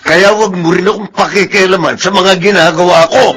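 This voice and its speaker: A man's voice: high voice, steady tone, brisk, fairly bright tone. A Filipino actor playing an arrogant, hot-tempered male office supervisor in a radio drama, with a haughty, domineering manner. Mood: irritated, scolding. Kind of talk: radio drama acting in Tagalog.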